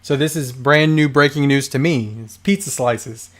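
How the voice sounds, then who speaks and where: A man talking off the cuff on a home livestream, his voice hoarse and dry. His pitch sits in an ordinary middle range. He rambles cheerfully, laughs along as he goes, and reads viewer comments aloud. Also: normal speed. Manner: chatty, rambling, laughs often. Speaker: livestream host. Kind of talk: casual live chat reading viewer comments.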